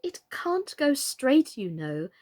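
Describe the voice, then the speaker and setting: A woman's voice: high-pitched, sing-song, slow pace, darkish tone, voice pushed up, heavily acted. A woman voicing a polite, sensible, slightly timid young storybook girl in a civil, earnest way. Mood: helpful, polite.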